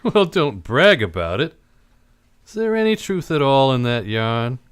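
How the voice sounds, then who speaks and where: A man performs a tough private eye in an old-time radio drama. His voice is smooth and clear, with animated pitch swings. He talks in casual, clipped wisecracks with a cynical edge. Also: measured pace. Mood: sardonic.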